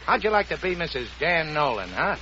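An actor playing a brash gangster boss who talks in gruff tough-guy slang, in 1940s radio comedy style. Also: a little high, very animated, brisk, sharp tone. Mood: coaxing. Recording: muffled noisy old radio recording.

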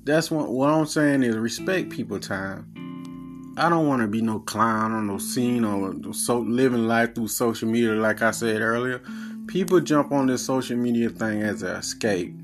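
A man in his forties with a Southern accent, a podcast host talking in a blunt, profane, earnest monologue. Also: medium pitch. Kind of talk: casual monologue.

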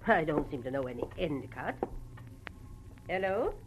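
An actress playing a wealthy, refined society widow in an old-time radio drama, formal and evasive. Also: female voice, sits low, lively intonation, moderate pace. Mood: flustered. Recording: muffled, faintly noisy vintage radio recording.

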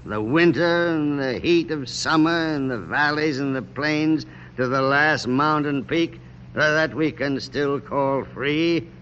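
An actor playing a weary, resolute American general in a radio drama, speaking in grave, deliberate, theatrical speeches. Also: male voice, a little high, a bit bright. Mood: rousing, impassioned. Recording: dull, hissy old radio broadcast.

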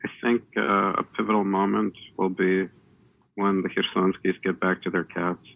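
A man's voice: low voice, flat delivery, moderate pace, creaky. A man on a panel, talking in an earnest, rambling way with many restarts and "you know". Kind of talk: panel discussion. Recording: tinny phone-like line.

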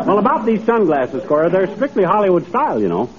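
An actor playing a breezy, scheming, folksy small-town husband with wheeler-dealer patter in old-time radio comedy. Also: male voice, sits high, big pitch swings, quick pace, crowd noise, background noise. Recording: dull vintage radio recording.

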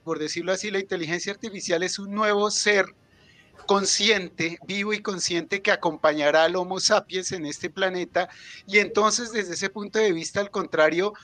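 A Colombian man answers as a guest author, laying out arguments didactically. His voice is high for a man, bright and forceful, and very dry in sound. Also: no room sound. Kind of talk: explanatory interview answer.

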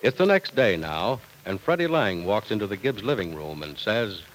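Animated vintage radio announcer man. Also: lowish voice, normal speed, a little hiss or hum, rough recording. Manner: emphatic, carefully phrased delivery. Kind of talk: vintage radio announcing, scripted sales pitch and narration.

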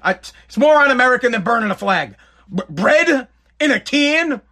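A man's voice: very high-pitched, sing-song, moderate pace, bright, forceful tone. A man, hosting a live podcast in a blunt, profane rant. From Boston area, New England. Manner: ranting, blunt, profane, repeats himself. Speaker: podcast host.

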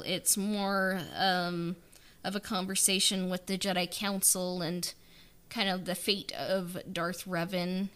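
A woman co-hosting a podcast, chatting casually and haltingly as she thinks aloud in fragments. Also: on the low side, pushed and bright. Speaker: podcast co-host. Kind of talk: casual behind-the-scenes podcast chat.

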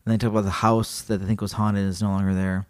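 A man co-hosting a podcast, chatting casually and reading a story aloud, animated and joking. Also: on the low side, quick pace. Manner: animated, joking, laughs often. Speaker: podcast co-host.

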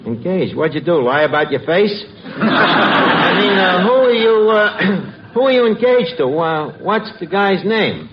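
A slangy New York schemer, a working-class tavern wiseguy in an old radio comedy. The voice sits fairly high and moves in lively swings, always sardonic. The recording is muffled, with clear noise over it. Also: male voice, a little slow. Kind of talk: 1940s-50s radio comedy acting. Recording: noisy, muffled vintage radio broadcast.

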